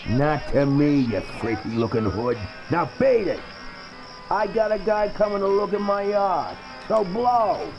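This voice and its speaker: An actor playing an irritable, sneering trailer-dwelling welder and artist who slings crude insults in a comic video game. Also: male voice, high-pitched, expressive, a little slow, dull audio. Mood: annoyed, dismissive. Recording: loud music behind, from game livestream.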